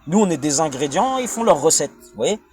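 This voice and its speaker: A man's voice: on the high side, big pitch swings, a little fast, a little mellow, faint music behind. Blunt, rambling man with a French accent. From France. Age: adult, father of a young child. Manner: slangy, blunt, crude, digressive, repetitive. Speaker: vlogger. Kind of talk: rambling informal monologue.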